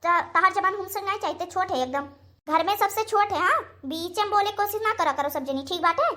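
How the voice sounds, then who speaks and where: Bossy old Awadhi matriarch played by a woman, very high bright voice, hectoring cartoon scold. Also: brisk. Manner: hectoring, repeating tags as threats. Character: bossy, scolding old village mother-in-law. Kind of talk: cartoon dialogue.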